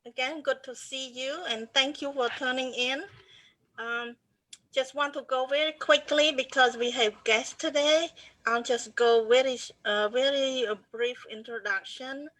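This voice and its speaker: A woman in her fifties with a Cambodian accent speaks very slowly and earnestly, hesitating with fillers. Her voice is bright and fairly high, recorded dry over a video call. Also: fairly even intonation, dry recording, poor audio. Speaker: co-host. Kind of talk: personal introduction on a video call.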